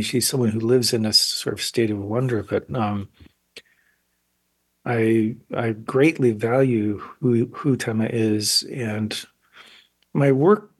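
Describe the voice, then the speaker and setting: Husky, thoughtful man in his sixties. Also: medium pitch. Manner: thoughtful, halting, searching for words. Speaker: painter, interview guest. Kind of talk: reflective interview talk.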